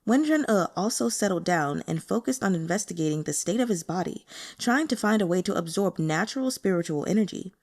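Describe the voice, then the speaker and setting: A woman reading fiction aloud as an expressive audiobook narrator. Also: on the low side, fairly quick. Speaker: audiobook reader.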